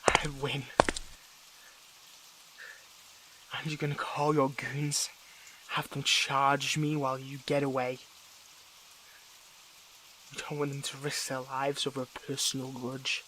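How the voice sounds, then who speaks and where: A man's voice: mid-range voice, monotone, sharp tone. Man acting a conflicted young superhero, crackly noisy audio. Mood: defiant, taunting. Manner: halting, emotional, with stammered restarts. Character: wounded young male superhero, conflicted, bitter, defiant toward villain. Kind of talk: dramatic audio roleplay monologue.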